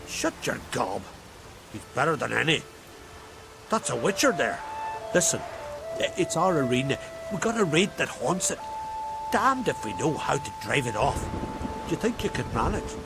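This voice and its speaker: Game villager man, gravelly, highish, blunt, excitable, colloquial, loud music behind. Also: normal speed, pauses often, low-quality recording. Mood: brusque. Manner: rough, colloquial, emphatic. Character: blunt, coarse, excitable male island villager. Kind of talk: video game cutscene acting.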